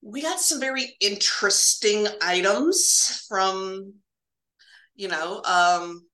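A woman telling casual, humorous stories as a podcast guest, animated and ready to laugh. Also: mid-range voice, slow pace, roomy sound, low-quality recording. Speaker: guest, medical laboratory professional.

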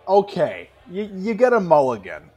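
A man's voice: sits high, huge pitch swings, normal speed, a little mellow, breathy edge, muffled recording, soft background music. A man bantering casually as a podcast co-host, blunt and joking in his way of talking.

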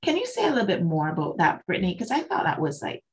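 A woman hosting a conversational interview, inquisitive and expressive as she reflects back and asks questions. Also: lowish voice, fast talker. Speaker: interview host.

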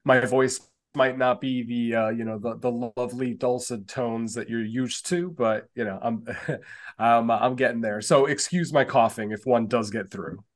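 A man's voice: mid-range voice, steady tone, normal speed. A man with the flu, speaking politely and a bit formally in casual podcast chat. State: sick with flu.

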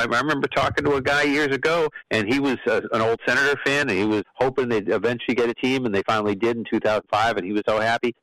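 A man's voice: mid-range voice, fairly bright tone, dry recording, rough recording. A man on a radio talk show, chatting casually about sports in a rambling, conversational way.

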